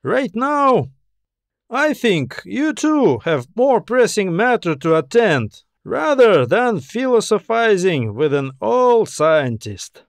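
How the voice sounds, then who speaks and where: A man voicing an old scientist in an audiobook, calm, thoughtful and courteous, explaining things with wry amusement. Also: sits high, slow pace, creaky, dry recording, studio quality, pitched above the performer's own voice, heavily acted. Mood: courteous, composed. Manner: thoughtful, philosophizing, gently explanatory. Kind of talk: audiobook character dialogue.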